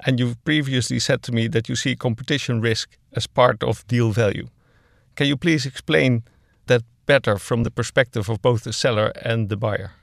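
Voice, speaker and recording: A man's voice: medium pitch, steady tone, normal speed, vocal fry, no echo. Dutch native host guy, polite structured questions, dry studio sound. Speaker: podcast host. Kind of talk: hosted podcast interview.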